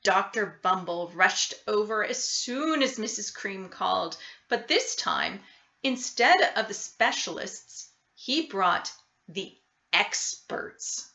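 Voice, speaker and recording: A woman reads a children's story at a slow, measured pace. Her voice is bright and expressive, with deliberate emphasis on key words. The recording is roomy and a little dull. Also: mid-range voice, vocal fry. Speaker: storybook reader. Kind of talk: expressive children's picture book read-aloud. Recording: roomy, slightly dull sound.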